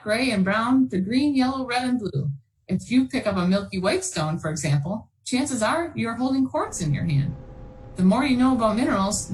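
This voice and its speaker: A woman with a medium-pitched voice hosts a storytime for children. She speaks as someone presenting a children's science book to young listeners. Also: rough recording. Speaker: children's storytime host and reader.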